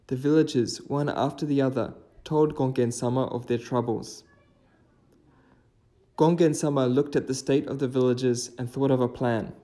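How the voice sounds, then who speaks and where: Mellow-voiced man telling trail stories. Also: medium pitch, steady tone, moderate pace. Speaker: hiking vlogger and storyteller. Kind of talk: casual trail commentary and folktale narration.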